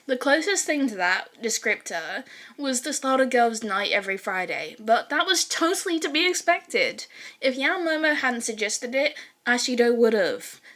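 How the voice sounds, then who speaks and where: High-voiced woman narrating a story. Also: fairly bright tone. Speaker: fan fiction narrator.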